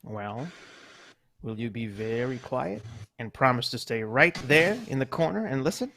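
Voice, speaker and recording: A man's voice: medium pitch, normal speed, gravelly, pushed and bright. A man voicing a fussy, motherly mouse mother in a live radio play reading, bossy and coaxing. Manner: bossy and coaxing, old-fashioned.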